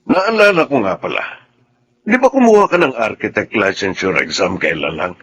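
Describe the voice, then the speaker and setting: A man's voice: fairly low pitch, big pitch swings, moderate pace, fairly bright tone, husky, heavily acted. A male actor playing a friendly, encouraging older architect boss in a Tagalog radio melodrama. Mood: hearty, approving.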